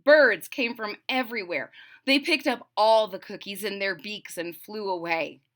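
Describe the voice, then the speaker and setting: A woman's voice: mid-range voice, a little slow, fairly bright tone. A woman reading a children's story aloud, expressively, with simple explanatory asides for young listeners.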